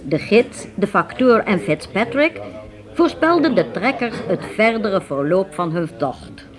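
Expressive woman narrator, very lively pitch. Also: crowd noise. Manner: measured, expressive. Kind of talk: scripted dramatic storytelling narration for a radio play.

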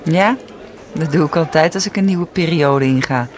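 An actress playing a guarded woman fresh from a breakup, hesitant and at times sharp, in a naturalistic radio drama. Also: low-pitched, moderate pace, slight background noise, close to an everyday voice.

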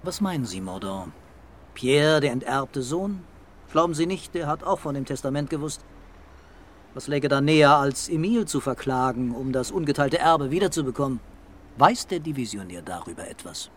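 An actor playing a composed, stubborn and dreamy police commissioner in a radio crime drama. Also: male voice, mid-range voice, animated, moderate pace, slight background noise, close to an everyday voice. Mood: stern, probing.

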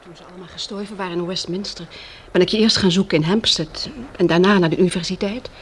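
A woman's voice: on the low side, steady tone, quick pace, lightly acted. A woman acting a tender, relieved survivor in a Dutch radio play. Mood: earnest, relieved. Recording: faintly noisy old radio drama recording.

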